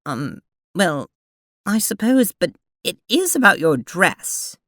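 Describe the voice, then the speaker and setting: A woman playing a prim, earnest young alien troll in an audio drama, speaking formally and haltingly with an awkward, apologetic manner. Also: on the low side, sing-song, background noise. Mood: hesitant, deflated.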